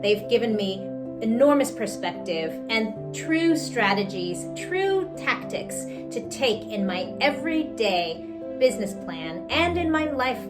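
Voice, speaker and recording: A woman's voice: a little high, big pitch swings, unhurried. A woman who hosts a podcast, giving an earnest, grateful scripted testimonial. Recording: voice over music.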